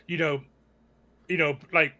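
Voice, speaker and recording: Male co-host, crisp bright tone, higher register, informal reaction-show conversation. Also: fairly even intonation, measured pace. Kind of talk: casual music discussion, reaction chat.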